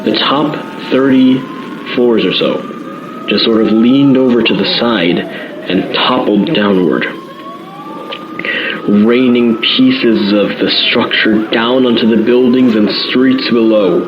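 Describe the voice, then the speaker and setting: A man plays a male field reporter in a radio drama, with a medium-pitched voice. He gives an earnest, awed eyewitness account. The link sounds dull, with clear noise behind him. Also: slow, natural voice. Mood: awed, descriptive. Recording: noisy, muffled outdoor radio link.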